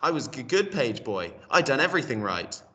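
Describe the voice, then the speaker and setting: A puzzled little boy from a storybook, played by a man, innocent and eager. He speaks in short, simple, unschooled phrases with a bright tone, slightly away from the mic. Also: mid-range voice, big pitch swings, a little fast, in a large hall, recorded from a distance. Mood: earnest.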